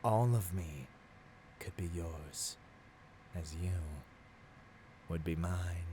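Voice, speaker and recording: A man's voice: sits low, very slow, background noise. A man playing a seductive villain tempting a hero in a scripted ASMR roleplay, speaking intimately and theatrically and often dropping toward a whisper. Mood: soothing, seductive.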